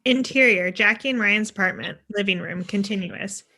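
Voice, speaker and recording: A woman reads screenplay directions as scripted narration. Her voice is crisp and very bright, at an average pitch. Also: normal speed. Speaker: stage directions reader.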